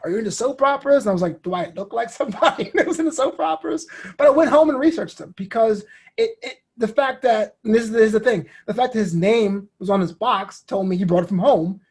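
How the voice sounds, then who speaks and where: A man in his thirties telling stories as an interview guest, expressive and inclined to act out what people said. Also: sits high, fast, husky. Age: 30s. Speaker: guest, comedian and show host. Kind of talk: animated interview storytelling.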